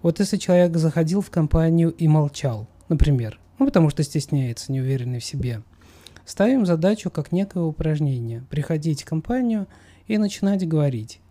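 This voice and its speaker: A man's voice: a little high, lively intonation, fairly quick, dark tone. A man speaking as a psychologist guest in a discussion, coaching assertively with emphatic repetition. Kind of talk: conversational expert discussion.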